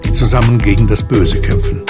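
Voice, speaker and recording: A man narrating a scripted audio drama in a measured, descriptive storytelling manner. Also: lowish voice, steady tone. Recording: loud music under narration. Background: loud music.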